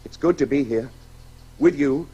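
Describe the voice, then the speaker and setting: A man acting a brooding, halting writer in a radio play, narrating his own story with intensity. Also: medium pitch, dark tone, slightly rough, highs cut off. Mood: rapturous. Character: brooding, wonder-struck male writer telling his story.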